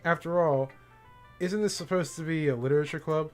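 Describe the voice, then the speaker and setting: A man's voice: a little high, a little fast, a little mellow, faint music behind. Man casually narrating a game. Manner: casual, amused, reads narration plainly. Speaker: streamer. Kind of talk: reading a visual novel aloud with reactions.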